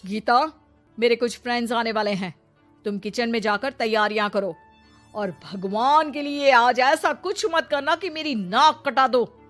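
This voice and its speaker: A woman voicing a haughty, scolding modern middle-aged mother-in-law in an animated story, sprinkling in English words. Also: fairly high pitch, unhurried, faint music behind. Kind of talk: animated moral story acting.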